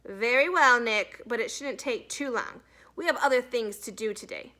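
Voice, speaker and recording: Woman as a stern schoolteacher, bright and emphatic. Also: on the high side, moderate pace. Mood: annoyed. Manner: emphatic, lecturing. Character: stern, brisk woman schoolteacher, firm and authoritative.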